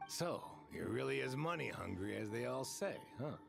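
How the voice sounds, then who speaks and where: An actor playing a wary, grudging weapons buyer in a desert video game story. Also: male voice, mid-range voice, lively intonation, moderate pace, creaky. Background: game music.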